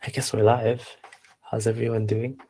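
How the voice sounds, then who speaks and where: A man from Zambia hosting a live online workshop, chatting casually and haltingly as he reads out attendees' replies. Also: fairly low pitch, flat delivery, warm tone. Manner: unhurried, halting, reading out chat replies. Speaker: workshop instructor and host.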